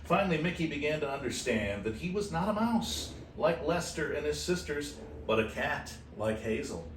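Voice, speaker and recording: A man's voice: fairly high pitch, sing-song, moderate pace. Echoey, distant man reading a storybook aloud. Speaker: host reading a children's book aloud. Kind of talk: expressive storybook read-aloud with casual asides. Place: animal shelter room with kittens. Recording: echoey room, distant mic, faint noise.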